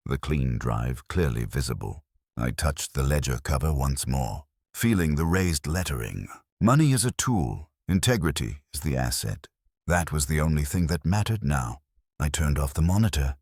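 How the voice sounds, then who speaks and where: A man with a very deep voice narrates a scripted first-person drama. His delivery is solemn and measured, phrase by phrase, yet the pitch moves expressively. The sound is dry, with no room around it. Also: no room sound. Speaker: story narrator.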